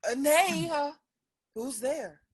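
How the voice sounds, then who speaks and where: A woman's voice: high-pitched, slow. A woman acting an anxious young lady in a theatrical period comedy, fretful and exclaiming. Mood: nervous. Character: anxious young lady sneaking out to her lover.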